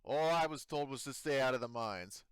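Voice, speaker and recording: A man voicing an apathetic, disinterested mine foreman in a tabletop game, flat and evasive in manner. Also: medium pitch, moderate pace, clear, resonant tone, pitched above the performer's own voice, close to an everyday voice. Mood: unenthused, insistent.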